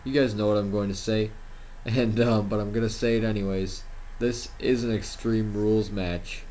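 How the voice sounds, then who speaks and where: Rambling young man, low voice. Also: fairly even intonation, normal speed, dark tone, slight background noise. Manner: rambling, self-deprecating, frequent fillers and restarts. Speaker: gameplay commentator. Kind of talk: casual solo game commentary.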